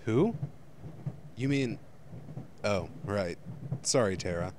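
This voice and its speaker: A man's voice: fairly low pitch, expressive, takes it slowly, background noise. A man playing a dry, practical, slightly impatient young man in an amateur radio play. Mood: apologetic.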